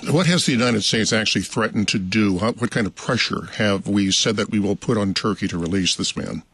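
Husky low-voiced male interviewer. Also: fairly quick, a bit bright, dry recording. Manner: brief, direct questions. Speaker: interview host. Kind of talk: radio interview questions.

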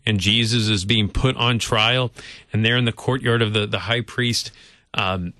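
A man's voice: on the low side, fairly even intonation, measured pace, pushed and bright, no room sound, studio quality. A man, a vicar, talking earnestly and reflectively about scripture in casual conversation. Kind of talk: casual Bible discussion.

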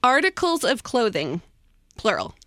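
Giggly, lively high-voiced woman. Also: measured pace, no room sound, studio quality. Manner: giggly, playful. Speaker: podcast co-host running a word game. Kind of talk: casual group banter, game prompting.

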